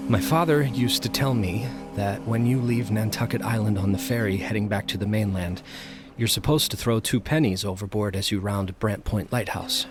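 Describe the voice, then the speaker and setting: An actor playing a fearful, solitary male professor who narrates an audio drama in a grave, hushed, deliberate way. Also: fairly low pitch, music in the background, close to an everyday voice. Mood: haunted, afraid. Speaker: narrator and lead character.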